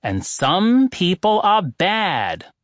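A man's voice: high voice, huge pitch swings, moderate pace, no echo, voice pushed up, full character voice. A man voicing a pompous, irritable old male oracle who lectures in a lofty, exasperated way. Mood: preachy.

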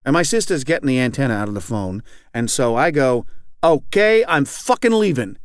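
A man's voice: medium pitch, sing-song, moderate pace, dry recording, clean studio sound, close to an everyday voice. A man doing a profane, rambling recovering hothead. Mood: bitter, heated. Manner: rambling, profane, keeps asking "you know what I'm saying". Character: angry, profane recovering man, middle-aged. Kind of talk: reading aloud a fictional monologue.

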